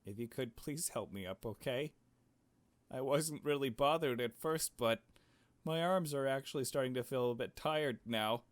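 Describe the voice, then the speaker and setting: A man voicing a frightened, earnest kidnapped young adventurer in a visual novel, speaking in a halting, pleading way. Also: mid-range voice, no room sound, pitched above the performer's own voice, close to an everyday voice. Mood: pleading, apologetic. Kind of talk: dramatic visual novel read-aloud.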